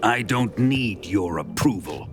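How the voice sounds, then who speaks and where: An actor playing a ruthless, suspicious military commander in a video game cutscene, with a menacing, authoritative, declamatory delivery. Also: male voice, lowish voice, lively intonation, slow. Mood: menacing, commanding. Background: game music.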